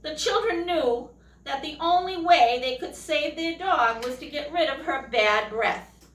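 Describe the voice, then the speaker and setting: A woman reading a children's picture book aloud expressively, pausing to put questions to young listeners. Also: high-pitched, a bit bright. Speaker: storytime reader, teacher. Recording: echoey room, distant microphone.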